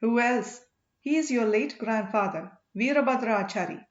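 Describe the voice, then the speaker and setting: A woman's voice: a little high, moderate pace, natural voice. A woman voicing a loyal, teasing boatman husband in an audiobook, playful and earnest. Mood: earnest, secretive. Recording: echoey room, dull lo-fi sound.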